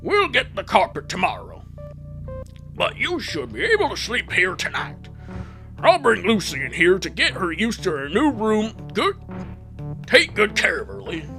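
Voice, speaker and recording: A man's voice: high voice, huge pitch swings, a little slow, bright, forceful tone, soft background music, exaggerated voice. A man voicing a kindly, firm cartoon dad with a hearty, encouraging fatherly manner.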